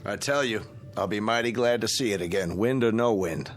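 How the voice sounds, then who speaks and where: A man's voice: fairly low pitch, a little fast, soft background music, lightly acted. An actor in a radio drama playing a chatty American government man, blunt and folksy, with an American accent. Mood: chatty, wry.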